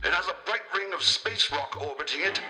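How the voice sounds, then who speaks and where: A man playing a tiny, ancient, courteous alien doctor with a formal, measured way of explaining, over the radio in a children's space drama. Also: sits high, expressive, over music. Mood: helpful, concerned.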